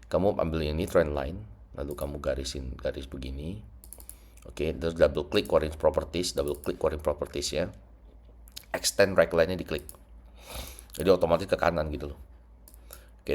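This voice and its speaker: A man's voice: rumbling low, darkish tone. A man with a congested, stuffy nose, explaining trading charts in a casual screen-share tutorial and mixing English terms into his talk. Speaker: trading teacher.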